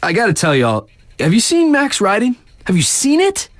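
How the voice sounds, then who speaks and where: A man's voice: high voice, wildly swooping pitch, normal speed, no echo. An actor playing an upbeat, cool male radio DJ in a video game, delivering news patter with chummy slang and hype for the athletes. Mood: upbeat, hyped.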